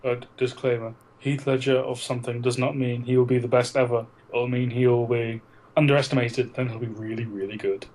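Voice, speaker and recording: A man's voice: mid-range voice, level tone, brisk, a little mellow, rough recording. A man co-hosting a casual film podcast, blunt and teasing, with plenty of swearing. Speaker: podcast co-host. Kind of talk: casual banter about films.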